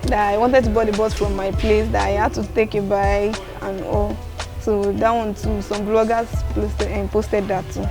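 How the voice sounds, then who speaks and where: A young woman from Nigeria with a Yoruba accent, answering interview questions softly and laughing often. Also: medium pitch, little pitch movement, moderate pace, music in the background. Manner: soft-spoken, laughs often, mixes in Yoruba. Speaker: guest vlogger.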